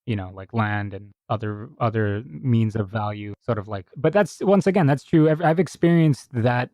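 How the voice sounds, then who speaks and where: A man's voice: mid-range voice, normal speed, a little mellow, dry recording. A young man from Hawaii, a podcast guest, chatting casually and rambling with frequent laughs. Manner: rambling, self-correcting, laughs often, swears casually. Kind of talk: casual conversation.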